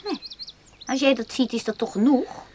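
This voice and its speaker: A woman's voice: sits high, lively intonation, moderate pace, a little mellow, slight background noise. A woman acting in a radio play as a blunt, bored young woman, curt and offhand with her older lover. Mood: bored, irritated. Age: young adult. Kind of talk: naturalistic radio play dialogue.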